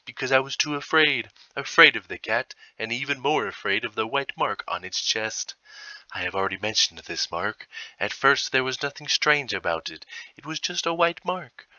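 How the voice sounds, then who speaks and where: A man reading a horror story as a tormented murderer's confession, in a theatrical, heavily emphatic manner with dramatic pauses. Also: mid-range voice, bright, forceful tone, no room sound, muffled recording. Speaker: storybook reader. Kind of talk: dramatic first-person narration of a horror story.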